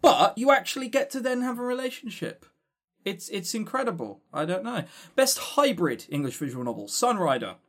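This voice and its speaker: Sarcastic, high-pitched, animated man. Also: normal speed. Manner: rambling, sarcastic, dry humour; swears casually. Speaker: podcast co-host. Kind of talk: casual chat running through award lists.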